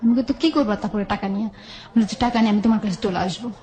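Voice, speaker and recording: A woman's voice: mid-range voice, racing, dark tone. A woman with a Bangladeshi accent telling her own life story in a colloquial, conversational way, often quoting dialogue back and forth.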